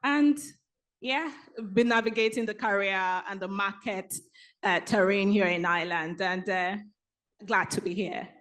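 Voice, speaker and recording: A woman's voice: medium pitch, a little slow, fairly bright tone. Female panelist, friendly, mid voice. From Nigeria. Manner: friendly, laughing along.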